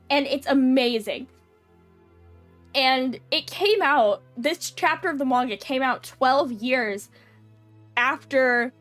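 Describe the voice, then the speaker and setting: A woman's voice: high-pitched, a bit bright. A young woman streamer chatting casually with her viewers, her voice nasal and stuffy from a runny nose, full of fillers and giggles. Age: young adult.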